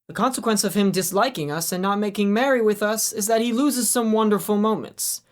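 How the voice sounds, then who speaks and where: A woman's voice: medium pitch, fairly quick, close to an everyday voice. A woman playing an earnest, forgiving party guest in a student radio drama.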